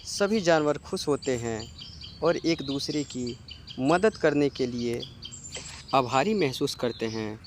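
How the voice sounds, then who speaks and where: A man tells a children's animal tale as narrator. He reads very slowly at a middling pitch. Birdsong and a little ambient noise are heard behind him. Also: animated. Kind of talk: scripted storytelling narration for a children's cartoon.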